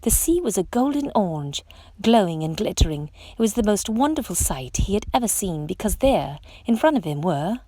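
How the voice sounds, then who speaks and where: A woman reading a picture book aloud to children in an expressive, dramatic storytelling style. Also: medium pitch, normal speed, no echo.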